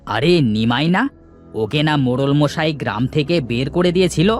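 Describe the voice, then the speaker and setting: A woman acts a curious villager in an animated folk tale. The voice is very low and sounds surprised. Music plays behind it. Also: normal speed.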